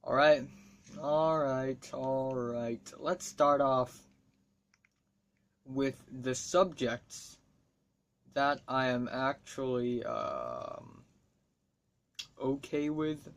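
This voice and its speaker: A man's voice: mid-range voice, animated, extremely slow, long pauses. A teenage boy from Hong Kong whose first language is Cantonese talks casually to camera, rambling, swearing freely and making wry asides. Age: teens. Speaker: student vlogger.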